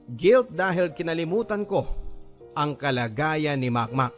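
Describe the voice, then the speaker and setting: A man narrating a listener's letter in first person, in a measured, earnest storytelling manner. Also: medium pitch. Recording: clear music bed underneath.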